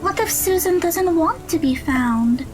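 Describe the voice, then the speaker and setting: A woman's voice: very high voice, animated, a little slow, soft background music. A woman playing a concerned, loyal teenage girl in an audio drama. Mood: worried, guilty.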